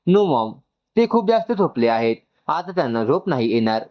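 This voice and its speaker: A man voicing an excitable, doting young new father in a story, gushing and mixing in English. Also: medium pitch, normal speed, muffled recording, close to an everyday voice. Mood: eager, insistent.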